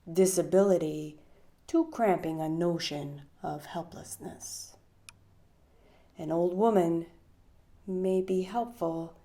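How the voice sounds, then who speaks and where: A woman leading a guided meditation with poetry readings, speaking soothingly with long pauses and a breathy trail-off at the ends of phrases. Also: on the low side, warm tone.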